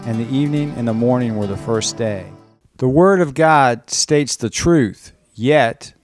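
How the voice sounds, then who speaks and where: A man's voice: medium pitch, unhurried, soft background music. A man giving solemn scripted narration and scripture readings in an emphatic, declamatory manner.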